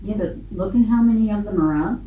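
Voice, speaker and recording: A woman's voice: mid-range voice, quick pace, phone-quality sound. An American woman chairing a council meeting in an indoor chamber. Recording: roomy, distant mic, noisy background clatter.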